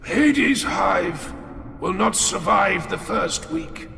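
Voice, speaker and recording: An ancient war commissar, grinds out grave, hate-filled pronouncements in a slow, creaky voice. He is grim and pious, in a dramatic audiobook style. His words ring through a big reverberant hall with music underneath. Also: high voice, animated, bright, forceful tone, heavily acted. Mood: grim, foreboding. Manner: grave, foreboding declarations. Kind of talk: dramatic audiobook character acting.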